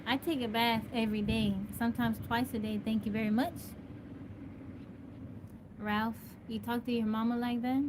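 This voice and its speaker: A woman's voice: fairly high pitch, level tone, moderate pace, long pauses. A woman from Texas hosting a casual live stream, greeting viewers by name and answering their questions. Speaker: livestream host. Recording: noisy live-stream audio.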